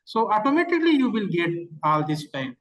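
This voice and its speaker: An online instructor answers questions in a live technical lecture. The delivery is halting and repetitive, with frequent small verbal tags punctuating each step. The pitch sits in a middle range. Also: very animated.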